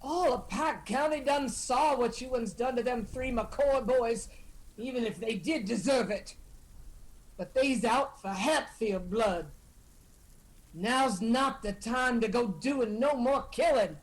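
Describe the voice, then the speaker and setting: An actress playing a shrewd Appalachian clan matriarch in a radio drama, stern and admonishing. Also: female voice, a little high, slow pace, low-quality recording. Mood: warning, stern.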